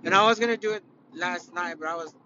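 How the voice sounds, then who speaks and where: A man from California with a raspy, spent voice gives a rambling, profane rant, heavy with fillers and false starts. His voice sits a little high for a man. The audio is dull and lo-fi, with clear vehicle noise running underneath. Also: quick pace, sharp tone. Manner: rambling, profane, opinionated, with many fillers and restarts. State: raspy, worn-out voice after concerts. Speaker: podcast host. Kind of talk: casual solo podcast rant.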